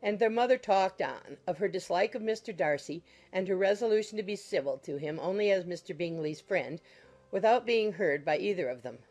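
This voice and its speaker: A woman reading a classic novel as an audiobook narrator, in measured, formal storytelling style. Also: lowish voice, expressive, darkish tone. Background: music under the voice.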